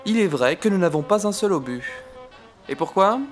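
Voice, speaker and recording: Guy acting pompous ww1 french army captain, theatrical, gets exasperated fast, comedy audio play, music behind. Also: sits high, animated. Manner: theatrical, declamatory, easily exasperated. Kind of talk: comic audio drama acting.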